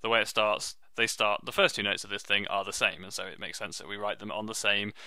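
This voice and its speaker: A man with a low, deep voice and a strikingly bright, crisp tone teaches music theory. He explains each step in a friendly, modest way. The recording is close and dry, with no room sound. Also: fast talker, no room sound. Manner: friendly, self-deprecating, steady explaining while drawing. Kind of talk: online music theory lesson.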